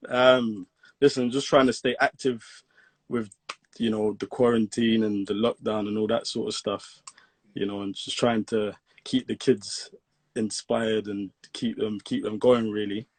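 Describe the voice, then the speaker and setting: A man from England hosting a casual live-stream interview for a youth football club, relaxed and friendly with his guest. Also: lowish voice, unhurried. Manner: easygoing, calls guest "bro" and "man". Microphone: video call.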